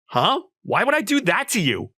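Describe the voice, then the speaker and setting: Man acting an exasperated high-pitched teenage schoolboy. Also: moderate pace, a little hiss or hum, exaggerated voice. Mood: flustered. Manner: expressive, incredulous, self-talking. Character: exasperated teenage schoolboy narrating his awkward misadventure. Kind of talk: manga dub narration and dialogue. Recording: music behind the voice.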